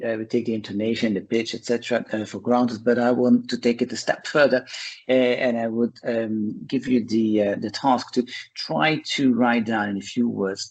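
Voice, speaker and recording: A man from Belgium with a Flemish accent, a Dutch speaker, talking as a guest in an interview, pausing often with fillers. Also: mid-range voice, a little mellow, breathy edge, rough recording. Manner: hesitant, many uh and um fillers. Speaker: guest, charity founder. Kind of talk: conversational interview.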